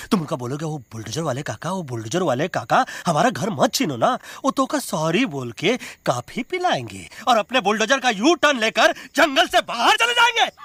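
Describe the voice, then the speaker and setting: A man voicing a blustering monkey army commander in an animated film, mocking and bombastic, with a Bhojpuri-flavoured Hindi accent. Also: a little high, slightly rough, no room sound, exaggerated voice. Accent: Bhojpuri-influenced eastern Hindi.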